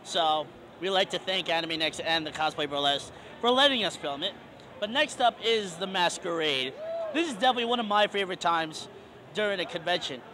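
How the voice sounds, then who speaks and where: A man's voice: sits high, animated, brisk, sharp tone, a little hiss or hum. A man hosting a casual fan video, chatting upbeat and introducing clips. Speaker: host. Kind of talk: casual panel chat.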